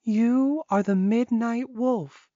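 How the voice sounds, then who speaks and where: Woman playing a mellow-voiced, resigned loyal witch. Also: on the high side, slow, no echo, lo-fi sound. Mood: explaining, matter-of-fact. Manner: explanatory, matter-of-fact. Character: resigned, loyal young witch guarding a captive.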